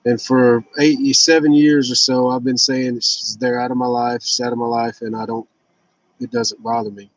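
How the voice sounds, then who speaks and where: A man's voice: mid-range voice, level tone, moderate pace, no room sound, poor audio. A man in his fifties talking casually and candidly in a rambling, blunt, confessional personal vlog. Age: 50s. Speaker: vlogger sharing fasting and fitness journey.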